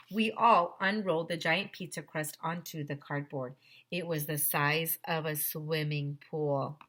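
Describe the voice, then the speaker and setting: A woman teacher reading a children's story aloud in an expressive, simple storytelling manner. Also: fairly low pitch.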